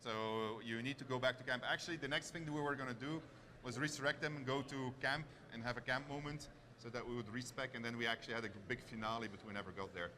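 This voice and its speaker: A man's voice: medium pitch, fast, bright, forceful tone. Guy doing a live game demo q&a, kinda hesitant and rambly, noisy convention hall sound. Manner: hesitant, informal, thinking aloud. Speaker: game developer presenting on stage. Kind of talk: live demo presentation and audience Q&A. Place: large convention stage with audience.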